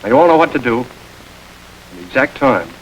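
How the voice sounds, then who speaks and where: A man playing a calm, commanding gang boss. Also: mid-range voice, fairly quick, slight background noise, lightly acted, low-quality recording. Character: smooth, calculating middle-aged gang boss. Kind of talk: 1930s crime film acting.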